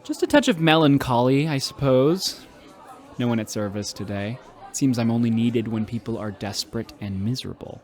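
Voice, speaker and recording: A man's voice: mid-range voice, normal speed, lightly acted. Guy acting sad lonely priest, hesitant, bar crowd murmuring behind, radio play. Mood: melancholy. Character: melancholy, lonely male priest.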